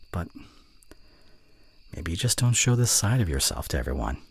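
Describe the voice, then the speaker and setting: Man acting as a deep, breathy, snarky college guy. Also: heavily acted. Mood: calm, teasing. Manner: teasing, grumbling, with sighs and breathy murmurs. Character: snarky young male college student voice actor, secretly fond. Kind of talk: intimate ASMR roleplay acting.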